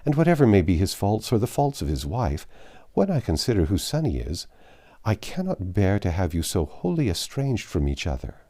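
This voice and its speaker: A man doing an affectionate mother from a classic novel, brisk and coaxing, with a deep, dark tone. Her pitch rises and falls a lot as she urges her daughters on. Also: huge pitch swings, exaggerated voice. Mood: fond. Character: warm, fond older mother, woman.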